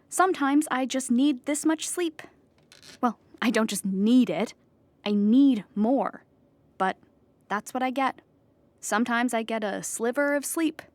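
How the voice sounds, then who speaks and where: An actress playing an anxious, earnest, depressed clown in a theatrical audio drama monologue, explaining with dramatic emphasis. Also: female voice, a little high, no room sound, close to an everyday voice.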